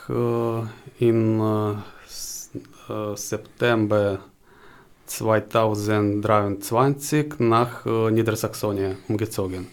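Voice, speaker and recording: A man in his forties with a Ukrainian accent answers interview questions. His voice sits slightly low, and he talks very slowly. He hesitates often, feeling for words in a language he learned later. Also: darkish tone. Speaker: interview guest, job seeker. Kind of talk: interview answers in halting second-language German.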